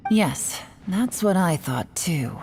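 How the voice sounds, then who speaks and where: A woman's voice: lowish voice, big pitch swings, slow, gravelly, a little mellow, big-room sound, faint music behind, exaggerated voice. A woman playing a composed, wry young adventurer in a video game. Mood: amused, thoughtful. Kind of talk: English video game voice acting.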